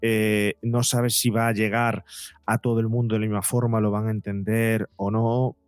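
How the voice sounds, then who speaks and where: A man's voice: on the low side, little pitch movement, normal speed, clear, resonant tone, no room sound, soft background music. A man speaking as an interview guest and psychologist, explaining in a conversational way and voicing imagined quotes to illustrate his points.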